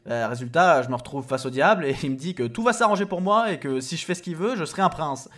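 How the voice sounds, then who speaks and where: A man's voice: on the high side, animated, fast, a bit bright. A man playing a cheeky, unimpressed loser in a comic audio drama, talking in a hesitant, colloquial, sardonic way. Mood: sceptical, sardonic.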